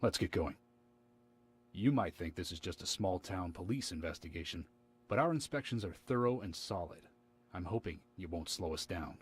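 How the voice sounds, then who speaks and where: A man playing a stern, proud small-town lawman in a video game, gruff and commanding, distrustful of outsiders. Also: low-pitched, expressive, moderate pace.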